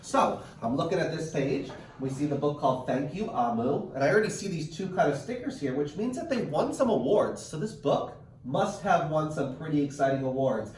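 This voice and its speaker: Animated higher-voiced man reading to children. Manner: encouraging, expressive, chatty explanations. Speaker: teacher reading a picture book aloud to children. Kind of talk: virtual read-aloud with asides to children and parents. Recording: roomy, distant mic with faint noise.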